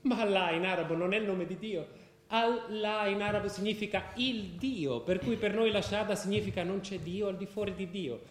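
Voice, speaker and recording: Man acting earnest high-voiced devout convert, roomy sound. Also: moderate pace, close to an everyday voice. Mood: fervent, explanatory. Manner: earnest, didactic, explanatory. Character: earnest European convert to Islam, mystical and devout. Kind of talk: theatrical radio drama dialogue. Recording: roomy, echoing stage sound.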